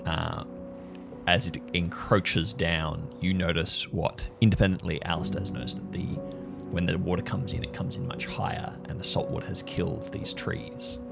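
Male game master, low register with fry, halting descriptive narration, music behind. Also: little pitch movement. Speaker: game master of an improvised audio drama. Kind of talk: improvised scene narration. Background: music under the voice.

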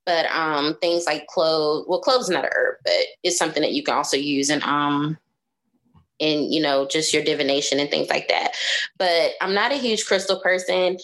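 Woman just chatting as a podcast guest, animated, super informal, says like and you know a lot. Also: low voice, moderate pace, slight background noise. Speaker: guest, astrologer and card reader. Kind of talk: casual conversational chat.

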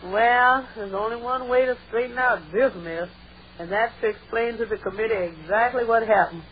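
An actress playing a folksy, wisecracking housemaid in an old radio sitcom, with a drawling, quipping delivery. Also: female voice, on the high side, a little hiss or hum, natural voice. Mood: scheming, amused. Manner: folksy, drawling, quick to quip. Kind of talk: 1940s-50s radio sitcom acting. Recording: dull, lo-fi vintage radio recording.

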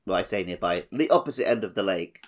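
Low-pitched man chatting casually. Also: expressive, quick pace. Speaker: podcast co-host. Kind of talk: casual, joking gaming chat.

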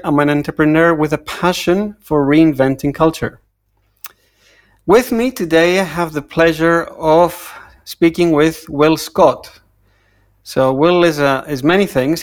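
A man hosting a podcast, introducing his guest in a welcoming way with a few small restarts. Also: fairly high pitch. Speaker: podcast host.

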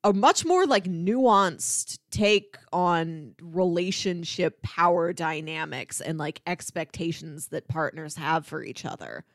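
A woman's voice: on the low side, slow pace, few pauses, no echo, clean studio sound. A woman chatting casually about films as a podcast co-host. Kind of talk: casual film discussion podcast.

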